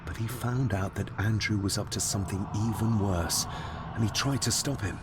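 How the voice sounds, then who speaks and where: Brooding, low-voiced haunted heir man acting. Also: moderate pace, music in the background, natural voice. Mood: earnest, troubled. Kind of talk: video game voice acting. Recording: loud ambient rumble behind voice.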